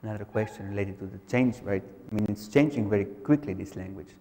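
Man, audience questioner, careful phrasing, lowish voice, hall reverb. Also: quick pace, vocal fry, dark tone. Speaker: audience member asking questions. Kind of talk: asking questions at a lecture.

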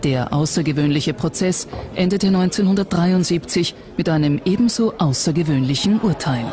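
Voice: medium pitch, normal speed, noisy background. A documentary narrator reading a scripted text in a factual documentary style. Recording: music bed under narration. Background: background music.

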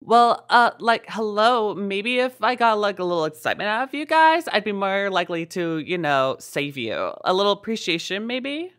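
High, sassy valley-girl damsel. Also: sing-song, normal speed, hardly any pauses, fairly bright tone, clear, resonant tone. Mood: sassy, pouting. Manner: valley-girl drawl, exaggerated pouting.

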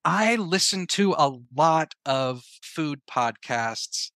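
A man's voice: on the high side, expressive, slow, fairly bright tone, dry recording, clean studio sound. A man speaking casually as an interview guest, sharing advice and experience in a conversational way. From American Southeast. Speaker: podcast guest, writer and filmmaker.

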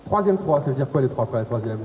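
A man's voice: mid-range voice, lively intonation, rapid-fire, darkish tone. A man in his thirties hosting a television game show, chatty and upbeat as he quizzes the contestants. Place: TV studio set. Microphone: handheld mic. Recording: reverberant studio, faint tape hiss.

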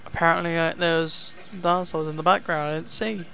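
A man's voice: fairly high pitch, little pitch movement, creaky, sharp tone. A young man from Wales with a Welsh accent, casually commenting on his gameplay, frustrated and swearing often. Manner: frustrated, sweary, quick outbursts. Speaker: gaming YouTuber.

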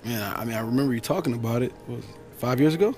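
An actor playing a loyal, supportive young American basketball player, casual and buddy-like, in a video game. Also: male voice, medium pitch, racing, over music, natural voice. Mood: concerned. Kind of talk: naturalistic video game cutscene acting.

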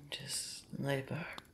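A man speaking softly and breathily, often whispering, in an intimate ASMR roleplay monologue, rambling hesitantly with long pauses. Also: medium pitch, vocal fry, slight background noise. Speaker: audio roleplay voice actor.

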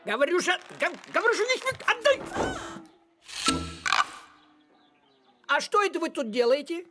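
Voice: very high voice, big pitch swings, brisk, over loud music. An actor voicing a fussy, practical male talking cat in a cartoon, huffy and protective. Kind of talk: animated cartoon dialogue.

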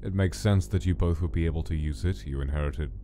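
A man's voice: low voice, fast talker, warm tone, slight background noise, natural voice. A man voicing a cold, formal monster scientist who lectures in a stern, clinical manner, in a comic dub. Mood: stern, lecturing.

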